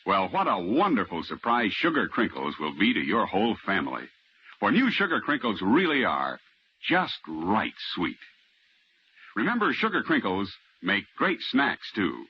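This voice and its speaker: An American man giving a folksy, enthusiastic sales pitch as a vintage radio commercial announcer. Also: wildly swooping pitch, normal speed, husky. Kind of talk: vintage radio cereal advertisement. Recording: muffled vintage radio recording.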